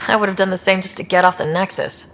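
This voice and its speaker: A woman's voice: medium pitch, fairly even intonation, quick pace, fairly bright tone, in a large hall, a little hiss or hum, lightly acted. A woman playing a young explorer leader in a video game, speaking in a casual, wry way. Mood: friendly. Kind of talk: video game dialogue acting.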